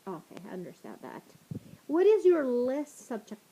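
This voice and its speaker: A woman interviewing a teenager, speaking haltingly and repeating words, with non-native phrasing. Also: high-pitched, sing-song, mellow, husky, low-quality recording. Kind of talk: casual interview questions.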